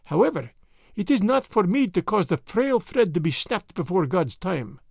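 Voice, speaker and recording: A man's voice: high voice, big pitch swings, normal speed, gravelly, dry recording, clean studio sound, full character voice. A man voicing a dignified, defiant foreign woman with a foreign accent, in a storybook reading. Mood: disdainful.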